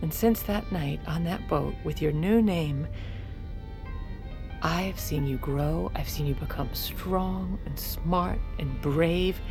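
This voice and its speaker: A woman playing a poised, commanding First Lady in an audio drama, sharp-tongued, motherly and persuasive. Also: deep voice, slow, music in the background. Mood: firm, persuasive. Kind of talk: audio drama acting with first-person narration.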